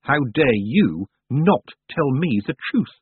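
A man voicing a calm, firm and sensible young girl witch, speaking reproachfully. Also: mid-range voice, takes it slowly, slightly rough, no room sound, voice pushed up, heavily acted. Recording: dry, tinny phone-like sound.

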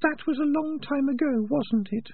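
A storybook she-rat, sharp and cool-headed, speaks in a very high, thin pitch, put on by a male narrator. She is stern and pointed, with reproach in her questions. The sound is close and dry. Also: normal speed, dry recording, narrow, tinny audio. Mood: measured.

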